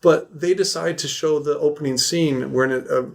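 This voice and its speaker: A man's voice: on the high side, fairly even intonation, normal speed, vocal fry. A man hosting a podcast in casual chat, with an enthusiastic, geeky way of talking. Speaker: podcast host.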